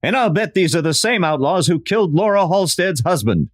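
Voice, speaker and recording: A man playing an earnest, courteous masked lawman in an old-time western radio drama, speaking in deliberate, declarative lines. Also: high voice, very animated. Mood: businesslike.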